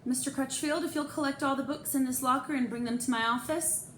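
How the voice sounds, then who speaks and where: A woman's voice: high-pitched, steady tone, brisk, a bit bright, pitched above the performer's own voice. A woman voicing a stern, commanding female school principal in a storybook, firm, formal and emphatic. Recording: echoey room, faint background noise.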